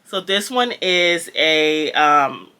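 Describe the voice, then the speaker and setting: A woman's voice: deep voice, lively intonation, extremely slow, sharp tone, clear, resonant tone. A Jamaican-born woman with a Valley girl accent, talking casually about books as a reviewer, rambling with lots of fillers and laughs. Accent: American Valley girl. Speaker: book reviewer. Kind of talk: casual chat.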